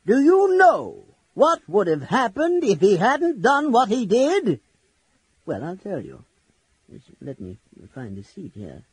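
A man's voice: high-pitched, huge pitch swings, takes it slowly, slightly rough, dry recording, voice pushed up, exaggerated voice. A man voicing a doddering, sleepy old male wizard who rambles and loses his thread. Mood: scolding. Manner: rambling, trailing off, easily distracted. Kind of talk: audiobook character voice.

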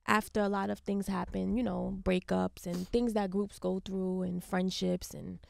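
A young woman from Harlem with a soft voice, chatting casually as a guest in a radio studio and laughing often. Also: medium pitch, level tone, normal speed, creaky, no echo. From Harlem, New York. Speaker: guest artist. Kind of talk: casual interview chat. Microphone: studio mic on boom arm.

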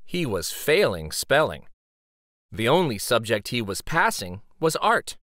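A man reading a children's story aloud dramatically, with theatrical, suspenseful pauses. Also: medium pitch, big pitch swings, fairly bright tone, dry recording, clean studio sound.

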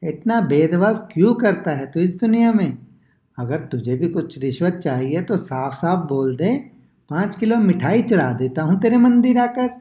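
A woman's voice: fairly low pitch, lively intonation, moderate pace, mellow, reverberant, lightly acted. A woman playing argumentative, complaining village market men in a cartoon story. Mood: envious grumbling.

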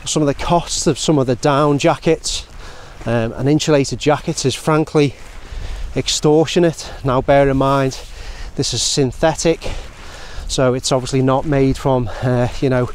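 A British man in his forties giving a casual, measured product review to camera in a conversational way. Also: mid-range voice, fairly even intonation. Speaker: outdoor gear reviewer. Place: outdoors in open countryside. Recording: outdoor ambient noise.

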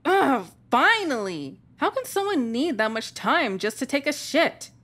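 A woman acting a high-pitched, impatient vampire woman. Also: big pitch swings, unhurried, a bit bright, full character voice. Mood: exasperated. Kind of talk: audio drama acting.

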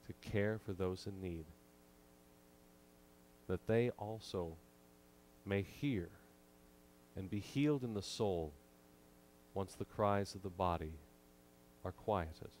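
A man in his thirties preaching a sermon from a church pulpit, measured and emphatic with frequent pauses, into a pulpit microphone. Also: low voice, a little mellow, slight background noise, poor audio. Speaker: pastor.

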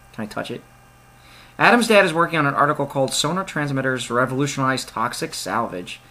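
A young man playing a point-and-click game, reading its text aloud and joking about it. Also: medium pitch. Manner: reads game text aloud, jokey and excitable asides. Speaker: let's play gamer. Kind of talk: casual let's play commentary.

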